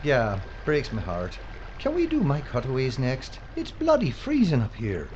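A man's voice: medium pitch, wildly swooping pitch, unhurried. A man acting a grumbling, sarcastic, anxious film presenter in an audio drama. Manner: complaining, sarcastic. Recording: muffled recording with clear noise.

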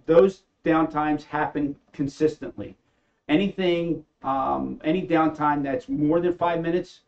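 A man explaining a slide presentation in a plain, halting way, with frequent restarts. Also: fairly high pitch, darkish tone, highs cut off. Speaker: company presenter.

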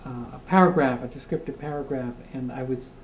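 A man in the audience of a book discussion, talking in halting phrases with many restarts. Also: mid-range voice. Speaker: audience member. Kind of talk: casual discussion. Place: community meeting room. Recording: echoey room from a distance, faint noise.

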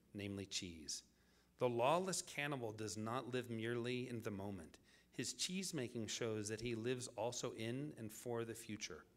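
A man delivering a scripted public lecture as the lecturer, in deliberate, measured phrases with dramatic pauses. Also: medium pitch, fairly bright tone.

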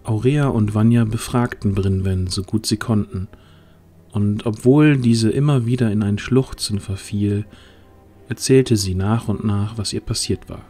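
A man's voice: on the low side, a little slow, warm tone. A man narrating a scripted fantasy story as the narrator of an audio drama.

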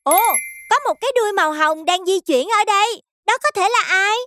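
A woman voicing a youthful storyteller who guides children through a cartoon adventure in an animated way. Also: very high voice, a little fast, no echo, studio quality. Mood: curious, eager. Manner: animated, addressing child viewers.

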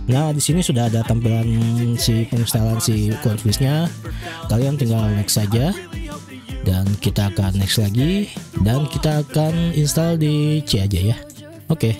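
A man in his twenties hosting a casual software tutorial, chatting to viewers as friends from a small home room on a studio mic. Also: medium pitch. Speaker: YouTube tech tutorial host. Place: small home room lit with blue LED lights. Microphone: large studio mic on a boom arm.

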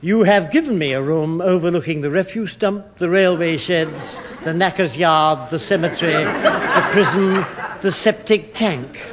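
An actor playing a naive, eager, polite and bumbling English civil servant in 1960s British radio comedy style. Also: male voice, fairly high pitch, measured pace, very noisy, lightly acted. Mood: earnest, fretful.

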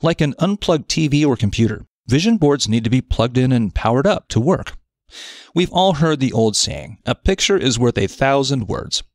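A man reading a scripted self-development article aloud in a measured, articulate, explanatory manner. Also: medium pitch, no echo, studio quality. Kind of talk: scripted narration of an audio article.

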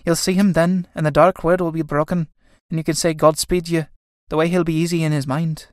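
A woman's voice: deep voice, level tone, a little fast, no room sound, studio quality, lightly acted. Woman playing an anxious young Irish elder sister. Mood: urgent.

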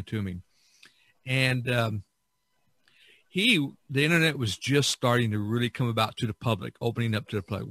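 A man in his sixties speaks as a podcast host in a dry-sounding recording. His pitch is average for a man, and he offers advice casually, rambling along with hesitations and little asides. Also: bright, forceful tone, no room sound. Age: 60s. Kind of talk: casual advisory monologue.

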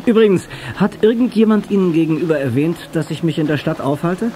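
A confident, daring young male driver played by an actor speaks in an audio drama. His voice is a little high, with clear noise on the old cassette. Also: brisk, warm tone, lightly acted. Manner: self-assured. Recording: noisy old cassette recording.

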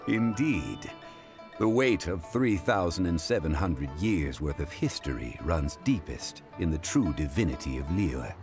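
A man playing a dignified, learned gentleman in a fantasy video game, speaking in a formal, measured and solemn way. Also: low voice, expressive. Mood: calm, dignified. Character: dignified, learned young-looking male funeral parlor consultant. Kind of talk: video game character dialogue. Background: music.

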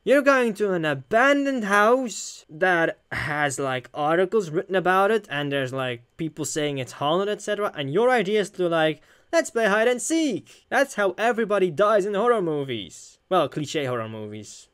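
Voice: mid-range voice, animated, moderate pace, hardly any pauses, fairly bright tone, clear, resonant tone, no echo, clean studio sound. A gameplay commentator reading a visual novel aloud, informal and rambling, repeating phrases for emphasis. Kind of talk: let's play commentary with voiced dialogue. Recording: music behind the voice.

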